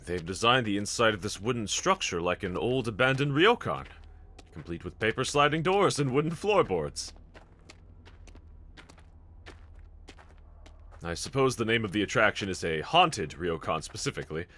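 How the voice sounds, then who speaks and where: A man's voice: fairly low pitch, big pitch swings, a little fast, bright, forceful tone. A man playing a bold, earnest, reassuring demon slayer swordsman in an anime audio roleplay, speaking with formal, courteous diction. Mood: intrigued, attentive.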